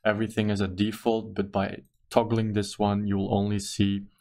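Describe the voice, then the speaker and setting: A man with a Belgian accent and a somewhat low voice narrates a screen-recorded tutorial. He is not a native English speaker and explains carefully, pausing with ums and uhs as he clicks through each step. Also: monotone, darkish tone. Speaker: tutorial host.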